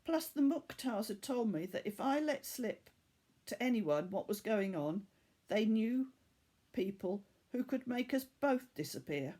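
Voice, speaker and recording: A woman reading the part of a weary, earnest boy from Bangladesh in a storybook, telling his story in a measured way. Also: fairly high pitch, lively intonation, mellow. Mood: regretful.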